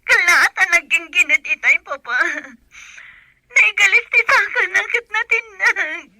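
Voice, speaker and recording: A woman's voice: very high voice, expressive, measured pace, sharp tone, dry recording, exaggerated voice. A woman with an Ilocano accent playing a distraught young daughter pleading with her father in a radio drama, tearful and urgent. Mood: distressed.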